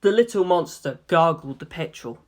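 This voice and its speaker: A man's voice: a little high, lively intonation, moderate pace. Man narrating an adventure story. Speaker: audiobook narrator. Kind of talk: first-person scripted story narration.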